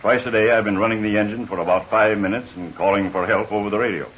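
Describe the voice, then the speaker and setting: A man in 1940s radio acting, playing a weak, halting stranded pilot. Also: on the low side, steady tone, a bit bright, natural voice. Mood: weary. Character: weak stranded adult male pilot, major. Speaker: Major Steele. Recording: dull hissy 1940 radio transcription.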